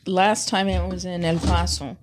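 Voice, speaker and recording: A woman in her fifties, a podcast guest chatting warmly about her childhood and laughing often. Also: lowish voice, flat delivery, normal speed, a little hiss or hum. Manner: warm, laughs often while reminiscing. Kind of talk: casual reminiscing chat.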